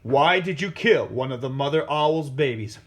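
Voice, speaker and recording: A man voicing a commanding lion king who questions his animal council in emphatic, drawn-out proclamations. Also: medium pitch, animated, close to an everyday voice. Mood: solemn, concerned.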